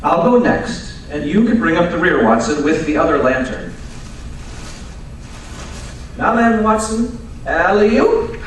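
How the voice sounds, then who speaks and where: Man acting as radio-drama sleuth, precise, didactic, superior, slightly high male voice, distant echoing stage recording. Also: sing-song. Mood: brisk, assured. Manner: pedantic, measured, didactic. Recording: echoey live stage, distant mic, faint noise.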